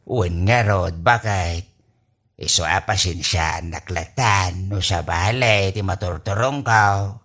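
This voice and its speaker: A man's voice: low voice, a bit bright, faint music behind, heavily acted. A man acting a forgetful, evasive old husband in an Ilocano radio comedy drama, stammering, exclaiming and comically flustered, with an Ilocano accent. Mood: befuddled, evasive. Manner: halting, stammering, full of exclamations.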